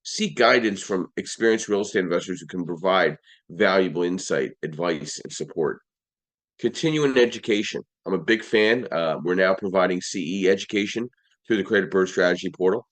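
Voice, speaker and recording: A man hosting a live audio-room podcast, his voice a little hoarse, running through sponsors and lists in an upbeat way with frequent restarts. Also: sits low, moderate pace. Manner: upbeat, list-like delivery with restarts. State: slightly hoarse. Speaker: podcast host.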